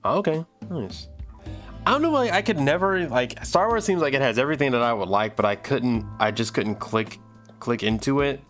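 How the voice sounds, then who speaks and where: A man chatting casually on a livestream while he works, thinking aloud in a halting, rambling way with repeated phrases. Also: mid-range voice, lively intonation, a bit bright, faint music behind. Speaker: livestream host and audio drama producer.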